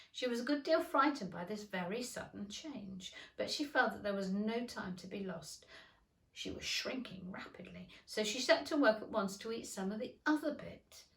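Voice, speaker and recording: A woman reading a children's storybook aloud in an expressive narrating style. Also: medium pitch, far from the mic. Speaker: teacher reading to pupils. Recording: echoey room.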